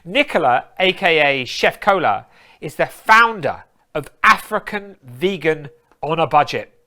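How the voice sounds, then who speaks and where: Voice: mid-range voice, lively intonation, unhurried, sharp tone. Enthusiastic interview host. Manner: enthusiastic, praising, rambling questions. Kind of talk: live online interview.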